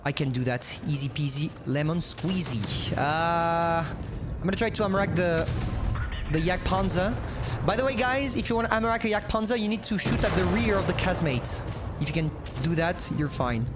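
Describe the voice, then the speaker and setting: High-voiced French guy streaming a game. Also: normal speed. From France. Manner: casual, rambling, talks to himself. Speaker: gaming streamer. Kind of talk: live gameplay commentary, chatting with viewers. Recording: noisy, glitchy mic cutting out.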